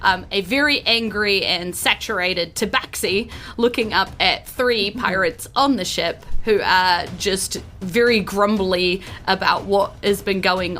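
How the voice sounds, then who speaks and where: A woman with a New Zealand accent hosting a podcast as dungeon master in a lively, casual style. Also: medium pitch. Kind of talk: lively casual podcast intro and game recap.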